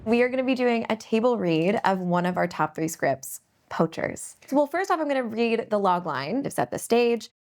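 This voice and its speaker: A woman's voice: on the high side, big pitch swings, moderate pace, darkish tone. A woman hosting a screenplay competition panel, talking with gushing enthusiasm about a table read. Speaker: host. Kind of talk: casual panel chat.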